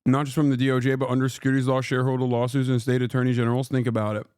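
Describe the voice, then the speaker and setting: A man's voice: medium pitch, level tone, racing, darkish tone, clear, resonant tone, no echo, studio quality. A man doing casual live political reaction commentary as a streamer, sardonic and fond of rhetorical questions.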